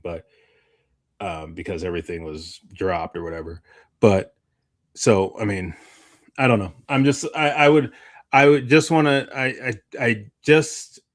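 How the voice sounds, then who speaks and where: A man chatting casually as a podcast co-host, rambling and often breaking off to reword himself. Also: low voice. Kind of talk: casual chat.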